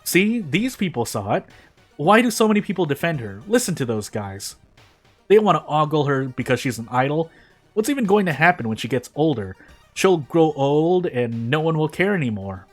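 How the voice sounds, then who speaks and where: A man's voice: on the high side, big pitch swings, moderate pace. A man voicing a bitter, envious idol hater, griping with scornful disbelief in a story. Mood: indignant disbelief. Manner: incredulous, griping. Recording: music behind the voice.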